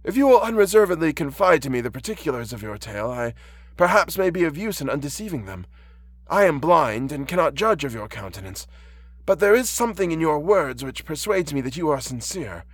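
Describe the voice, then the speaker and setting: Male reader as kindly old man, raised pitch, courteous, formal, storybook elder. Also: big pitch swings, fairly quick, slightly rough. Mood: gentle, sympathetic. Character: kindly blind old man, a poor exile.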